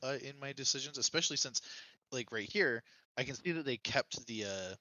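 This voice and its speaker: A man's voice: mid-range voice, lively intonation, fairly quick, sharp tone, dry recording, highs cut off. A man speaking as a podcast guest, casually and reflectively explaining his own preferences in video games.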